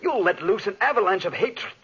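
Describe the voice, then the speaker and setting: An actor playing an anxious, loyal friend, pleading and insistent, in 1940s radio acting style. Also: male voice, high voice, lively intonation, brisk, fairly bright tone, breathy edge, full character voice. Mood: urgent pleading. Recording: muffled 1940s radio recording.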